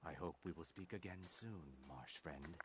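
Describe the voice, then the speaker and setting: A man's voice: deep voice, moderate pace, a little hiss or hum, close to an everyday voice. An actor playing a blunt, bitter male lizardfolk dockworker in a game. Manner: blunt, dry, resentful.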